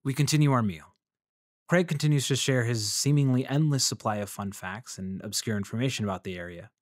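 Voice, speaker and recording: An actor playing a polite, measured freelance journalist who narrates his investigation in a fiction podcast. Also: mid-range voice, dry recording, clean studio sound, close to an everyday voice. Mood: somber, observant. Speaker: narrator and lead character.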